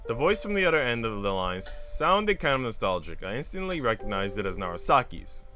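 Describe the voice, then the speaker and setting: A man's voice: mid-range voice, very animated, fairly quick, bright, forceful tone. A man streaming a visual novel, moving between reading the story aloud and casual, slangy, chatty asides. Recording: music behind the voice. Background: music.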